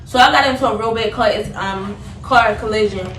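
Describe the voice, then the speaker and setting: A woman's voice: a little high, moderate pace. A young woman telling a story in casual chat, acting out the dialogue as she goes. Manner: animated retelling with quoted dialogue. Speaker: vlogger. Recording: roomy, noisy, distant microphone.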